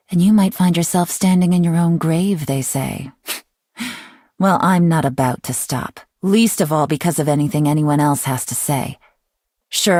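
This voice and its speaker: An actress playing a mysterious, calculating young female intelligence agent in a video game, with a measured, teasing and self-assured delivery. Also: lowish voice, dark tone, no echo, clean studio sound. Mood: cool, resolute. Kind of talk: scripted video game character voice lines.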